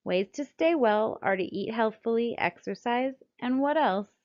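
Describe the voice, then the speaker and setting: A middle-aged American woman reads a scripted text aloud as a children's author, in a deliberate, expressive storytelling manner. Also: on the high side. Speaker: children's book author reading her own book. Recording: dull, lo-fi sound.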